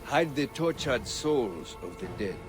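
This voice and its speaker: Ominous storyteller for a game: middle register, theatrical, soft music bed. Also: male voice, mid-range voice, very animated, a little slow. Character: ominous male local teller of ghost tales. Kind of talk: dramatic video game acting. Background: faint music.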